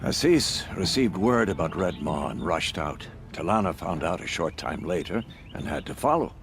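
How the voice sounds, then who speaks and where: An actor playing a grave, courteous keeper of a hunters' lodge in a video game, speaking formally like a solemn storyteller. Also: male voice, low voice, animated, moderate pace, background noise. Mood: concerned. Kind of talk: dramatic video game dialogue. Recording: music behind the voice.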